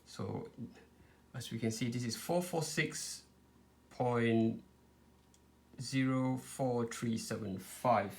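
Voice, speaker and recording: A man presenting an informal step-by-step tutorial, explaining in a hesitant, halting way with frequent pauses. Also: medium pitch, darkish tone. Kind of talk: informal step-by-step product demonstration.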